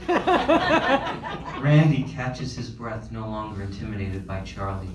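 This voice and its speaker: A man's voice: on the low side, animated, slow, a crowd in the background. A man narrating a screenplay's scene directions at a table read. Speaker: stage-direction reader. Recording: roomy, distant, faint ambient noise.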